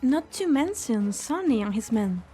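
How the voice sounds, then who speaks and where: A wary lab worker, played by a woman, with a fairly high and very animated voice. She talks in game-drama style over music. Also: a little slow. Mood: wary, insistent. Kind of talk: dramatic fan-dub voice acting.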